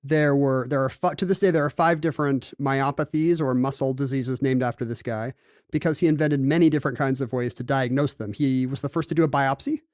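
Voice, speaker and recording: A man co-hosting a podcast in casual chat, explaining facts in a quick, wry way. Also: mid-range voice, fairly even intonation, a little mellow, dry recording, clean studio sound. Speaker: podcast co-host.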